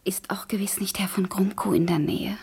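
An actress playing a frightened, proud lady-in-waiting in a radio play, speaking in hushed, anxious whispers. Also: mid-range voice, fairly even intonation, normal speed, vocal fry, slightly rough. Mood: fearful.

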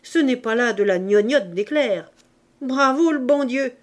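A woman as a cocky street boy in a storybook reading, loud, slangy and bossy, slow-paced. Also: medium pitch, lively intonation, lightly acted. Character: cheeky streetwise Paris urchin boy.

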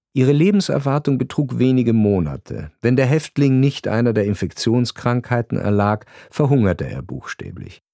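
A man reading a scripted radio narration in a grave, measured storytelling manner. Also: medium pitch, a little mellow, no echo, clean studio sound.

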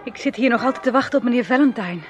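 A woman's voice: fairly high pitch, rapid-fire, over music, lightly acted. An actress in a radio drama playing a polite, composed and somewhat reserved lady. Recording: noisy old radio play recording.

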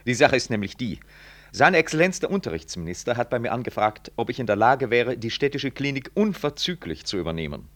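An actor playing a jovial, self-important medical professor in a 1960s radio drama, with a breezy, chummy manner. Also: male voice, mid-range voice, very animated, fairly quick, fairly bright tone. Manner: breezy, chummy, name-dropping. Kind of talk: 1960s German-language radio drama acting.

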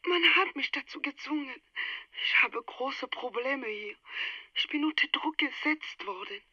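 An actress in a radio drama playing a young Nigerian widow, pleading and apologetic over the telephone. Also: female voice, high voice, measured pace, sharp tone, dry recording, full character voice. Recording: dull, slightly distant phone line.

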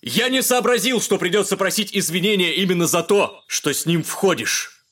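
Indignant gentleman, raised voice. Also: sits high, normal speed. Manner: stiff, formal, exasperated. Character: indignant, dignified gentleman, easily offended. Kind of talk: audio drama acting.

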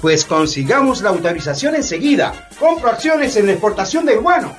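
An actor playing a coarse, greedy bourgeois husband with fancy pretensions in a satirical radio drama, speaking Latin American Spanish. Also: male voice, high voice, very animated, brisk, fairly bright tone, soft background music. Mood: eager, greedy.

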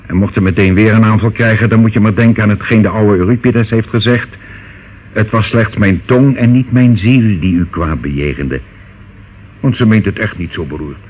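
An actor playing a devious older uncle in a radio drama, glib, reassuring and condescending. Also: male voice, lowish voice, normal speed, lightly acted. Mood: patronising. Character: smooth, devious older uncle, soothing and patronising. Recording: phone-like, noisy old radio play recording.

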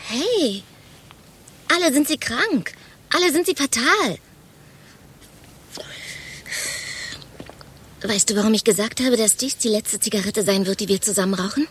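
An actress playing a chatty, overexcited office gossip in a radio play, telling things in a dramatic, gushing way. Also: female voice, a little high, lively intonation, normal speed, fairly bright tone, a little hiss or hum. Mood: conspiratorial, uneasy. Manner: dramatic, gushing storyteller, mimics quoted exchanges.

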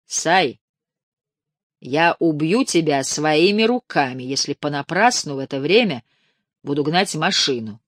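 A woman voicing a brash, impatient male studio boss speaking over the phone, gruff and mocking. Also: low-pitched, expressive, unhurried, no room sound.

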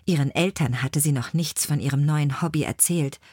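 A woman's voice: low voice, moderate pace, a little mellow, breathy edge, dry recording, studio quality. A woman reading scripted biographical stories as an audiobook narrator.

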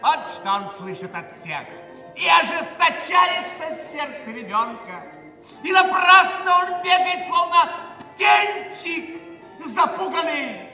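Echoey, very high-pitched, anguished poor man. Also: exaggerated voice. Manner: declamatory, impassioned, swinging from hushed to shouting. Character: anguished poor man bewailing a starving beggar child. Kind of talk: theatrical dramatic monologue in an audio play. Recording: echoey hall, distant mic, music behind.

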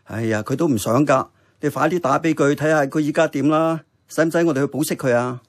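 A man acting a kindly older uncle and diner boss with a gentle, solicitous manner. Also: fairly high pitch, fast, lightly acted. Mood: kindly, concerned. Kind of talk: radio drama acting.

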